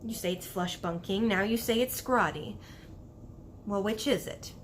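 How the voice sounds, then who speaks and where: A woman's voice: mid-range voice, measured pace, slight background noise, close to an everyday voice. A woman voicing a small young girl in a storybook, curious and polite, asking questions patiently.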